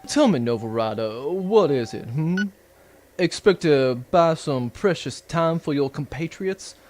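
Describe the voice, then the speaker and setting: A man's voice: fairly high pitch, lively intonation, a little slow, a little mellow, a little hiss or hum. Man playing a smug, pompous villain baron. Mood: smug, mocking. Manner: pompous, mockingly polite. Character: smug, scheming male villain baron, condescending and menacing. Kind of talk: audio adventure drama acting.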